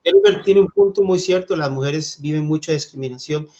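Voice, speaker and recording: A man from Costa Rica leading a church Bible study, speaking gently and thoughtfully, with hesitant fillers. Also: on the high side, a little fast, dull audio. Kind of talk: Bible study teaching and reading scripture.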